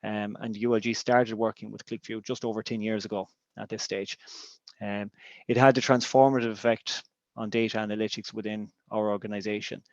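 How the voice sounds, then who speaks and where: Irish man, native Irish speaker, low voice, hesitant webinar presenter, dry and dull audio. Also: flat delivery, a little fast, darkish tone, no room sound. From Ireland. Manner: hesitant, many ums and restarts. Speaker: business intelligence director.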